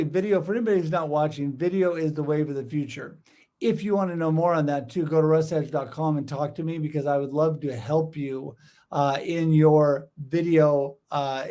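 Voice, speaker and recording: A man's voice: a little high, steady tone, moderate pace, mellow. A man hosting a casual video-call podcast, enthusiastic and effusive, rambling a little with fillers. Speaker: podcast host. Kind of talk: casual interview chat.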